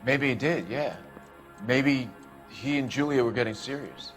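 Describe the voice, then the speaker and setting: An actor playing an evasive, smooth-talking businessman under questioning in a game, guarded and politely hedging. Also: mid-range voice, unhurried, soft background music, natural voice. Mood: uneasy, evasive. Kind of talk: dramatic interrogation dialogue.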